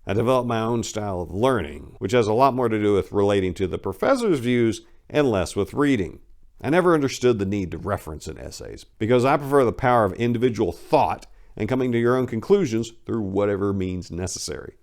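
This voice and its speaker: A man's voice: fairly low pitch, expressive, a little fast, darkish tone. An American man giving a dramatic reading of a comic first-person memoir in a wry, self-deprecating, conversational way. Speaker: memoir narrator.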